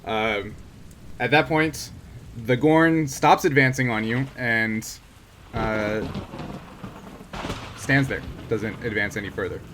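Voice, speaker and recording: A man's voice: mid-range voice, slow pace, long pauses, fairly bright tone. A man acting as game master, improvising narration and rulings in a casual, hesitant way as he thinks aloud. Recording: noisy, rain ambience behind.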